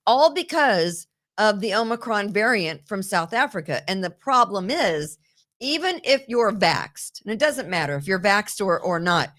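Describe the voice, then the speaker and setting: A woman hosting a political talk show, talking in an indignant, rapid way and often repeating herself. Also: mid-range voice, lively intonation, a bit bright. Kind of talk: opinionated political chat. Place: New York.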